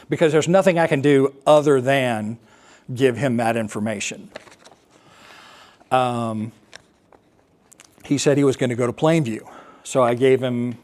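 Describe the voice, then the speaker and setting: American man telling a personal story, haltingly. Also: mid-range voice, a little mellow. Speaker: speaker, air traffic controller recounting an experience. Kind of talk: personal storytelling talk to an assembly.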